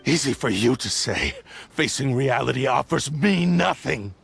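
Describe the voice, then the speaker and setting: A proud, obsessive cursed blade spirit speaks with a gravelly edge, dragging his words out slowly. His pitch rises and falls expressively as cold menace gives way to flashes of defiance. Faint game music plays underneath. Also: animated, a bit bright. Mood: menacing. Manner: cold, slow and menacing, with bursts of anger. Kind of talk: video game voice acting.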